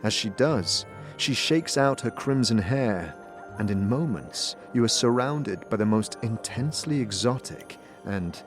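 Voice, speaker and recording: A man narrating a fan audio drama in the second person, measured and descriptive. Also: lowish voice, expressive, over music.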